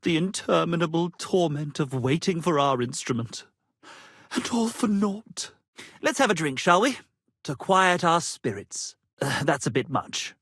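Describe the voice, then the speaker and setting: An actor playing a pompous, flamboyant medieval poet and tavern musician in a video game, speaking in a florid, theatrical manner. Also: male voice, on the high side, animated, unhurried, a bit bright, husky, no room sound, studio quality, heavily acted. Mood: lofty, lyrical. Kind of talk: theatrical game character dialogue.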